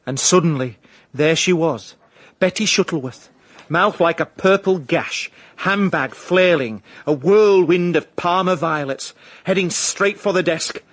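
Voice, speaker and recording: Droll, higher-voiced Yorkshire detective. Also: male voice, a little slow, no room sound. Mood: droll, dramatic. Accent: South Yorkshire. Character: wry amateur Yorkshire private detective, droll storyteller. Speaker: narrator. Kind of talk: wry scripted radio narration.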